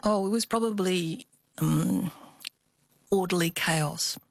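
Low-voiced older Australian woman, close recording. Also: takes it slowly, vocal fry, dry recording. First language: Cantonese. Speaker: interview guest. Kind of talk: reflective personal storytelling.

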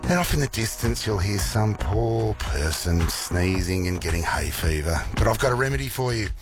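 A male Australian radio presenter with a croaky morning voice, chatting casually and playfully with listeners between weather and songs. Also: lowish voice, lively intonation, moderate pace, over music. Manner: chatty, playful, rambling asides. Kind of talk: casual breakfast radio chat. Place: radio studio.

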